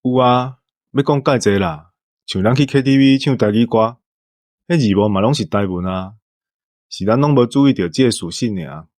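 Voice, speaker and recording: A man's voice: medium pitch, normal speed, darkish tone, dry recording. A man with a Taiwanese accent co-hosting a show, explaining things in casual conversation. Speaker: co-host. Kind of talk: casual explanatory podcast chat.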